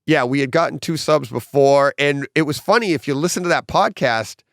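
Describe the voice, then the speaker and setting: A middle-aged man hosting a casual home theater podcast with his son. He tells stories animatedly and acts out quotes, and his voice is slightly hoarse. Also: on the high side, fairly quick, dry recording, studio quality. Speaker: podcast host.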